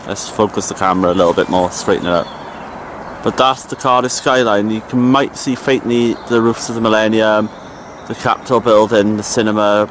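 A man's voice: fairly low pitch, noisy background. Chatty young South Welsh man vlogging outdoors. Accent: South Wales. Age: 20s. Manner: chatty, informal, thinking aloud. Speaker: hiking vlogger. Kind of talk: casual vlog commentary while walking. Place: outdoors on a woodland hiking trail. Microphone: handheld camera at arm's length. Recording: outdoor walking vlog with music behind. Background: music.

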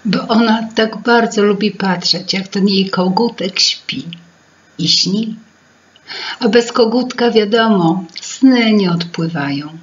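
A woman in her fifties tells a children's story very slowly, with theatrical care. Her voice is medium-pitched, and the recording is slightly dull. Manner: theatrical, deliberate. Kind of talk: expressive children's fairy-tale narration. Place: indoor room with paintings.

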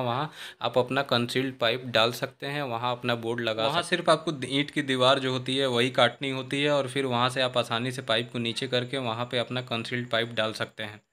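Man, site walkthrough explainer, flat even delivery, smooth clear voice, handheld phone recording. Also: medium pitch. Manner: instructive, addresses viewers as friends, frequent restarts. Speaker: civil engineering channel host. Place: unfinished brick house under construction.

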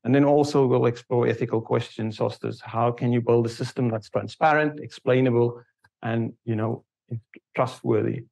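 A middle-aged man presenting at a conference in a lecture room, speaking haltingly and often correcting himself. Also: mid-range voice, steady tone, creaky, a little mellow. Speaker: conference speaker, research software engineer. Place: university lecture room, indoors.

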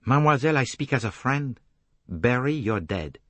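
A man voicing a gentle older male detective, measured and formal, in an audiobook novel. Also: mid-range voice, lively intonation, no echo, pitched above the performer's own voice, close to an everyday voice. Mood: gentle, grave.

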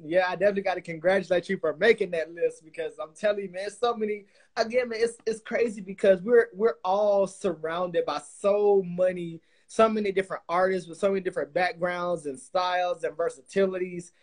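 A man's voice: high voice, fairly even intonation, a little fast. A young man hosting a casual interview over a video call, effusive and full of fillers and restarts. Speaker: podcast host. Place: small room indoors.

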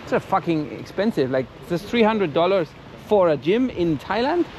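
A man hosts casual street interviews in a high voice. He is outgoing and swears freely. The recording is outdoors with plainly audible background noise. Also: very animated, moderate pace, a little mellow. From Germany. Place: outdoors by a beach.

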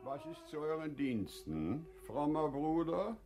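An actor playing a courteous, cautious older merchant in a radio drama, speaking deliberately and with some hesitancy. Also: male voice, on the high side, big pitch swings, heavily acted. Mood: courteous welcome. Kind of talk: classical German radio drama acting.

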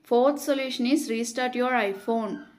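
A woman reading scripted step-by-step tutorial narration in a measured, instructional way. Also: on the high side, a little mellow.

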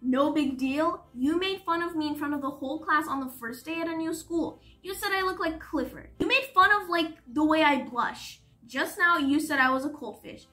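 A humorless teenage schoolboy , voiced by a woman in an audiobook. He is blunt, serious and prickly, with a high, forceful delivery. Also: animated, a little fast, hardly any pauses, faint music behind. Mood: hurt, accusing. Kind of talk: audiobook character dialogue.

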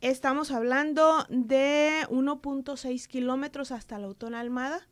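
Woman, 30s, northern Mexican accent, public official; steady technical explainer, slightly high voice, dry close studio sound. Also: normal speed, no echo. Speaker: city infrastructure official. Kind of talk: radio studio interview. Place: small radio studio. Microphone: studio desk mic.

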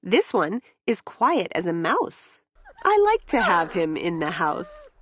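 A woman narrating a rhyming children's picture book in an expressive, deliberate storytelling way. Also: lowish voice, slightly rough.

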